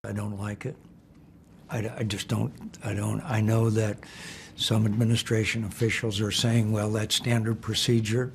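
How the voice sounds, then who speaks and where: A man's voice: fairly low pitch, little pitch movement, normal speed, creaky. A man making unscripted remarks in an interview, restarting his phrases. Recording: faint background noise.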